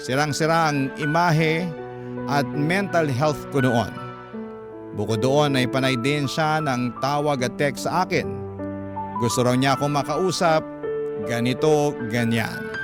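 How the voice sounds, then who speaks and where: A man's voice: fairly high pitch, measured pace, pauses often. A man narrating a letter in the first person as a dramatic radio storyteller. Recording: prominent instrumental music behind narration. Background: instrumental music.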